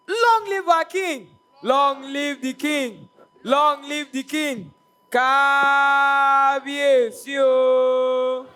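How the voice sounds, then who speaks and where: A reverent herald figure with a Nigerian accent, acted on stage. The very high voice draws out each ceremonial hail extremely slowly. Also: sharp tone, heavily acted. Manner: ceremonial, proclaiming. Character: reverent royal subject hailing the new king. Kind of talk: stage play acting, ceremonial chant.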